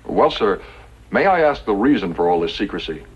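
A man acting a polite, earnest young army lieutenant in a 1950s radio western, with brisk, formal manners. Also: lowish voice, big pitch swings, husky, full character voice. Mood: respectful. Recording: noisy, dull 1950s radio recording.

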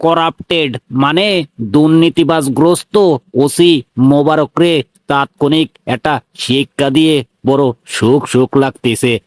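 A man's voice: fairly high pitch, fairly even intonation, measured pace, no room sound, faint music behind, close to an everyday voice. A man voicing a brash, bullying cartoon police inspector, blunt and threatening, with a Bangladeshi regional Bengali accent. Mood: smug, determined. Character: brash, bullying male police inspector, tough and self-righteous. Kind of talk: comic cartoon dialogue.

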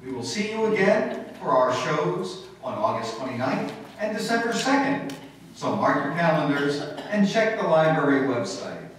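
A man's voice: fairly high pitch, sing-song, normal speed. A man announcing formally in old-time radio style from the stage of a hall. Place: stage in a hall before an audience. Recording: distant echoey hall with faint noise.

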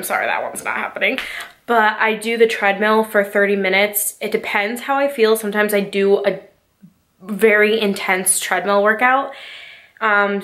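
A woman's voice: mid-range voice, fairly bright tone. A young woman in her twenties vlogging casually to camera from her bedroom, a bit nasal from a cold, rambling with lots of fillers. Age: 20s. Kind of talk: casual vlog chat to camera. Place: small bedroom, indoors. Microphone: phone or camera at arm's length.

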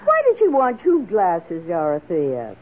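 A woman's voice: fairly low pitch, huge pitch swings, a little slow, full character voice. An actress playing an eager, emotional woman houseguest in an old-time radio drama, with an earnest and dramatic delivery. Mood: anxious, remorseful. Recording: muffled lo-fi radio drama recording.

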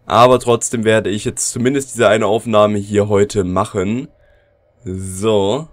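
A man's voice: on the low side, fairly even intonation, slow pace. A man with a cold and a sore throat, casually commenting on his gameplay and talking straight to his viewers from his computer. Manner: chatty, addresses viewers directly. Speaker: let's play commentator.

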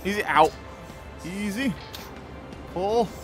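A man giving excited live gameplay commentary in short, exclamatory bursts, talking to himself as he plays. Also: sits high, slow. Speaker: gamer playing and commenting. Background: game music.

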